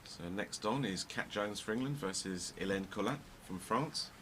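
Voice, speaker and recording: A man's voice: on the low side, moderate pace. A man with a Belgian accent commentating live on a pool match, switching between English and French with a wry manner. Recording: noisy live stream commentary.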